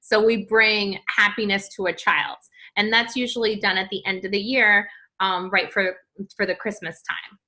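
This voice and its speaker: A woman from Peru, a podcast guest in casual interview chat, talking haltingly with many ums and restarts. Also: mid-range voice, fairly even intonation, sharp tone, rough recording.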